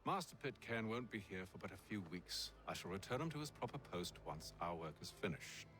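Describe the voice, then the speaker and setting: An actor playing a composed, cunning English gentleman leader in a video game, speaking with refined, measured authority. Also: on the low side, very animated. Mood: firm. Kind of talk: dramatic video game cutscene acting. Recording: prominent music behind the voice.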